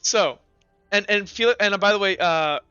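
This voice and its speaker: A high-pitched man runs a tabletop game in a casual, animated, sweary way. His voice is bright and very clear, on slightly dull audio with music behind it. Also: moderate pace. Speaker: game master. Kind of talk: casual chat running a tabletop roleplaying game.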